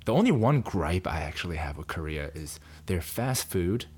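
A young man, a podcast guest, telling lively stories in casual chat, full of fillers and acted-out quotes. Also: low-pitched, moderate pace, husky. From United States. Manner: animated storyteller, many fillers, acts out quoted lines.

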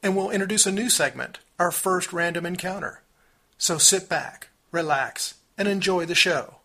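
Bright, upbeat middle-aged podcast host. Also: male voice, high voice, normal speed, creaky. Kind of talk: podcast intro and dramatic character reading.

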